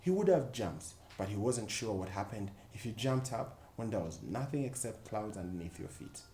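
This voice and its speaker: Mellow, animated male storyteller in echoey room. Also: fairly low pitch, moderate pace. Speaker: volunteer storyteller at a children's book club. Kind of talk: expressive children's story reading. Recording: echoey room with faint background noise.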